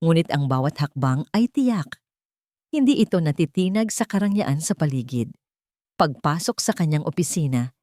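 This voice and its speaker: A woman reads a dramatic story as its narrator. Her voice sits low for a woman, and the sound is dry, with hardly any room on it. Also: mellow, no echo.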